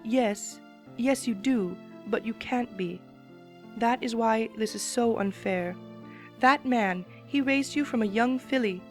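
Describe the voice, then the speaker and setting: A solemn pony princess speaks gravely and tearfully, as a woman reads her part, her voice set a little high. Her measured lines sit over soft background music. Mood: sorrowful. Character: solemn sorrowful female pony princess ruler. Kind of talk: dramatic reading.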